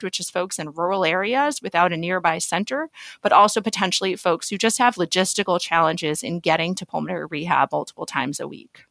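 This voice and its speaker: A woman speaking as an expert medical guest in an interview, explaining in an articulate, measured way. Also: on the low side, creaky, fairly bright tone, no room sound.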